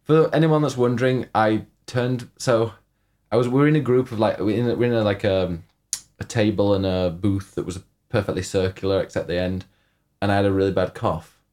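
A man co-hosting a casual podcast, slightly hoarse from coughing, rambling and teasing, often cutting himself off mid-sentence. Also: lowish voice, steady tone, fairly quick, darkish tone. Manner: teasing, rambling, self-interrupting. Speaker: podcast co-host. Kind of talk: casual chat.

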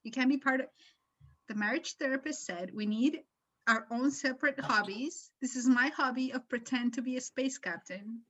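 Woman acting, exasperated wife, would-be space captain, bickering, fairly high pitch, improv comedy sketch, dulled recording. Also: normal speed, a bit bright, dull audio. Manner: bickering, insistent.

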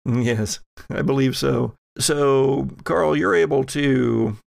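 A man acting as game master, narrating an improvised horror tabletop game in a halting, suspense-building way. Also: mid-range voice, creaky, no room sound, clean studio sound. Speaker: game master of an actual-play podcast.

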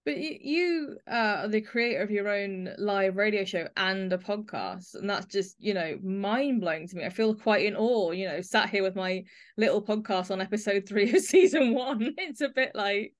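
A woman's voice: medium pitch, moderate pace, hardly any pauses. A woman hosting a podcast as a casual, chatty interview, speaking effusively to the guest. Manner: effusive, full of praise for the guest.

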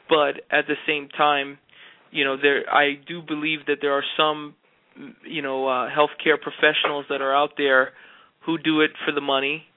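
A man answering interview questions earnestly, with frequent fillers and restarts. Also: mid-range voice. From Jamaica. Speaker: interview guest. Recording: tinny phone-like sound.

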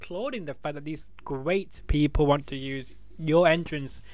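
A man's voice: on the high side, normal speed, darkish tone, dry recording. Chatty man hosting, dry sound. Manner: chatty, stumbling restarts, laughs often. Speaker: podcast host. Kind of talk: casual interview chat.

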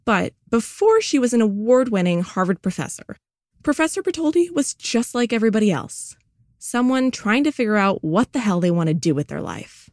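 A woman's voice: a little high, sing-song, moderate pace, a little mellow, dry recording, studio quality. A woman hosting an interview show, switching between scripted narration and casual questions in a breezy, informal way. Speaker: podcast host and interviewer.

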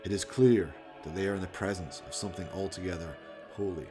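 A man reading scripted narration for a radio play in a creaky voice. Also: sits low, fairly even intonation, fast, over music.

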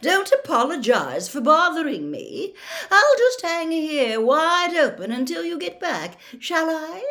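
A woman's voice: high voice, very animated, a little slow, pushed and bright, pitched above the performer's own voice, exaggerated voice. A woman voicing an irritable, sarcastic lady in a painting, calling out indignantly in a storybook reading. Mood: huffy sarcasm.

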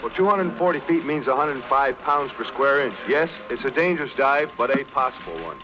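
A man's voice: medium pitch, animated, fairly quick, noisy background. A man explaining as an expert guest in a formal 1930s radio interview. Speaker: submarine expert being interviewed. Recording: dull lo-fi 1930s radio, music behind.

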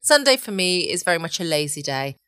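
A woman with an English accent hosting a late-night podcast from home, chatting casually in a relaxed, gently self-mocking way. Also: fairly low pitch, a little fast, clear, resonant tone, dry recording, studio quality. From England. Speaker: podcast host. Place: at home, close dry recording.

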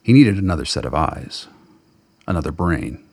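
A man narrates a radio play in an extremely deep voice. It is full of gravel and husk. The delivery is scripted, dramatic storytelling. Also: sing-song, normal speed, a little mellow, no room sound, clean studio sound.

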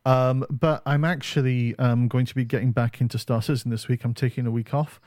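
A man hosts a casual gaming podcast, rambling along with frequent ums and uhs. His pitch sits in an ordinary middle range. The sound is dry and close. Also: fairly even intonation, brisk, a little mellow, no echo. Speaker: podcast co-host. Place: home setup on camera.

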